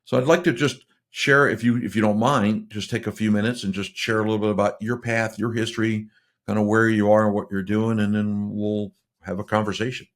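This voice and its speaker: Rambling low-voiced male podcast host. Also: flat delivery, fairly quick. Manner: rambling, friendly, many fillers and restarts. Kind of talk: casual interview chat.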